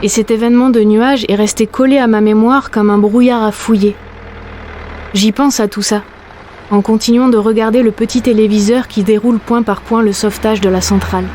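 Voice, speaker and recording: A woman's voice: on the high side, steady tone, a little fast, dark tone, a little hiss or hum. A woman in her late thirties from the south of France, narrating in an intimate, reflective first-person voice. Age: late 30s.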